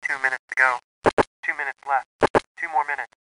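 An actor playing a terse American World War II army radio operator, giving clipped procedural reports through a radio filter for a video game. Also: male voice, mid-range voice, little pitch movement, brisk. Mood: terse, businesslike. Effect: military radio filter. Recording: very noisy, crackly radio transmission.

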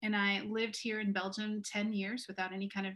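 Forties woman interpreter, plain and quick, home video call. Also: mid-range voice, little pitch movement, fairly bright tone. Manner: quick, laughing translation. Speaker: interpreter and missionary partner. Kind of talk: interpreting into English. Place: home room.

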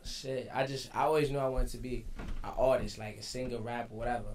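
A teenage man from the Bronx, a rapper guest answering in a laid-back, slangy, halting way in a radio studio. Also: medium pitch, fairly even intonation, a little mellow, slight background noise. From the Bronx, New York. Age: teens. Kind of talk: casual interview. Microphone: studio mic on stand.

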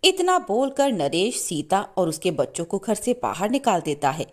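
A woman's voice: medium pitch, big pitch swings, normal speed, darkish tone. A woman storyteller narrating a scripted moral tale with dramatic storybook delivery.

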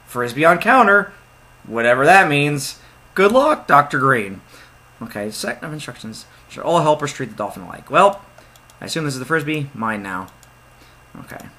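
Animated young man reading game text. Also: medium pitch, slightly rough. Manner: reads game text aloud, jokey and excitable asides. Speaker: let's play gamer. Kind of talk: casual let's play commentary.

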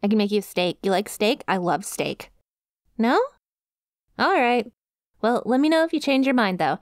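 Female actor for scatterbrained dog-girl student, ASMR roleplay. Mid-pitched, casual, rambling and friendly, recorded dry. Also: lively intonation, moderate pace, dry recording, lightly acted. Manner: casual, rambling, hesitant, easily sidetracked. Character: scatterbrained, friendly inu dog-girl student, easily distracted study buddy. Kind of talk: ASMR roleplay, one-sided conversation with listener.

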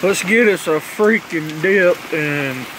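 A man's voice: fairly high pitch, takes it slowly, noisy background. A young man, chatting casually and cursing often in a laid-back drawl while filming himself on a phone on a covered porch. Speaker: vlogger reviewing dip tobacco. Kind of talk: casual rambling vlog chat. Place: covered porch outdoors in heavy rain. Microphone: phone camera on kickstand. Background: rain falling.

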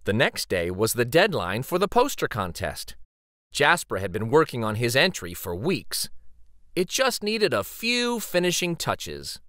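A man reading a children's story aloud dramatically, with theatrical, suspenseful pauses. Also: medium pitch, huge pitch swings, sharp tone, no echo, clean studio sound.

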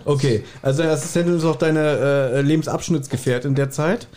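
A man's voice: on the high side, fairly even intonation, brisk, darkish tone, a little hiss or hum. A man hosting a casual podcast chat in a teasing, joking way. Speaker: podcast host.

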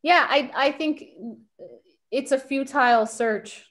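A woman in her thirties talks on a video call from a home kitchen. She speaks quickly and reflectively, pausing often with fillers between her ideas. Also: sits high, fairly bright tone. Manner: quick, thoughtful, with many fillers. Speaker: invited speaker.

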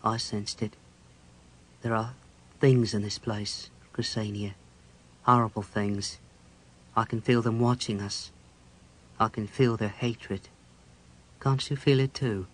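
A man's voice: lowish voice, fairly even intonation, slow, long pauses, rough recording. A man playing a big, haunted warrior with a grim, weighty delivery in a dramatic fantasy audiobook. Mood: haunted dread.

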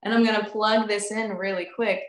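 A young woman in her twenties, a singer, being interviewed over a video call from a room at home. She talks in a bubbly, animated way and laughs often. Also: mid-range voice, brisk, slight background noise. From United States, family from Crete. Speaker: guest, recording artist. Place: indoor room at home with instruments on the wall. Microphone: video call, webcam. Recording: roomy video call audio.

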